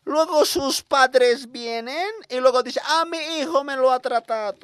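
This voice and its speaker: A young man performing a weary, scolding schoolteacher with a Bolivian accent in a comic radio monologue, halting and repeating himself in exasperation. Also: very high voice, fairly bright tone, clear, resonant tone, no echo, clean studio sound. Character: weary, scolding schoolteacher given to rambling lectures.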